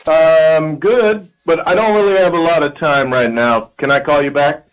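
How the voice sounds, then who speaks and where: A man's voice: on the high side, normal speed, clear, resonant tone. A middle-aged American man talking casually as he answers questions on a phone call to a radio show. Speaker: called contestant, a father.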